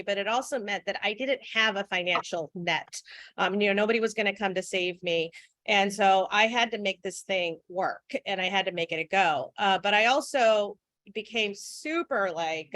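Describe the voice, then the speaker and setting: A woman's voice: medium pitch, a bit bright, no room sound. A woman in her forties giving an earnest, frank webinar talk, with many fillers. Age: 40s. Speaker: guest speaker. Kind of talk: webinar presentation.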